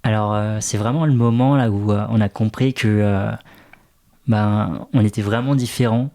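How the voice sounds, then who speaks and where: A man from France talking casually in an interview, thoughtful and halting, with lots of filler sounds. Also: on the low side, steady tone, warm tone. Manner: hesitant, many "euh" fillers, thoughtful. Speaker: interview guest, restaurant co-founder.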